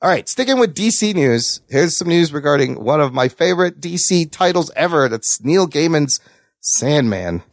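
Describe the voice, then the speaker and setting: Animated, profane man hosting, higher-pitched voice. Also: normal speed, dry recording, clean studio sound. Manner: excitable, profane. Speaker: podcast host. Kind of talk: casual news chat with co-hosts.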